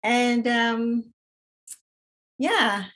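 A middle-aged woman from Colombia, a podcast guest sharing her story softly, with many pauses. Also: on the high side. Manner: soft-spoken, many fillers and pauses. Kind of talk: casual personal testimony.

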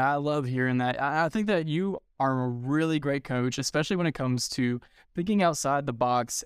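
Clear-voiced man co-hosting sports interviews. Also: medium pitch, no echo, clean studio sound. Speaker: sports show co-host and interviewer.

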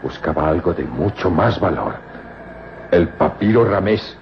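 A man acting in an old-time radio drama as a suspicious, forceful Middle Eastern desert guide, speaking in emphatic declamation. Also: low-pitched, animated, measured pace, slight background noise. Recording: muffled old radio recording, loud music behind.

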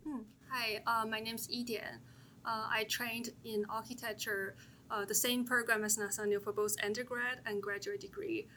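Woman from China, co-founder, short hesitant answers, bright voice, faint rumble behind. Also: level tone, normal speed, low-quality recording. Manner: hesitant, brief, many fillers. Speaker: startup co-founder. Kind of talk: interview answers.

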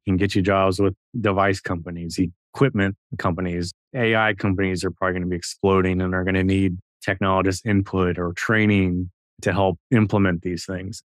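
A man's voice: sits low, no echo. A man in his thirties, a guest radiologist assistant, making long earnest points in a podcast conversation. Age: 30s.

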